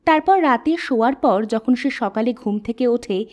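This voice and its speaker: A woman narrating a spooky folk tale in a steady, scripted storytelling manner. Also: a little high, normal speed, muffled recording.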